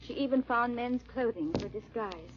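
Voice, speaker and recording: A woman's voice: a little high, normal speed, close to an everyday voice. An actress playing a frightened young noblewoman on the run, who also narrates her tale, in melodramatic, formal 1940s radio drama style. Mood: grave narrating. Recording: muffled vintage radio recording.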